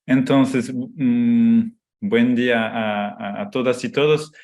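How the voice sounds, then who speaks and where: Halting slow-speaking man lecturing. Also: mid-range voice, steady tone, darkish tone. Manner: halting, many fillers and restarts, mixing in Portuguese words. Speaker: guest lecturer. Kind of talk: online lecture. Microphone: video call.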